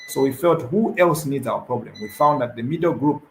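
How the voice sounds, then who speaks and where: Young man, mid-pitched, gravelly, hesitant panel guest with many fillers. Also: expressive, fairly quick, slight background noise, low-quality recording. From Zambia. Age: young adult. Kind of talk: panel discussion answer.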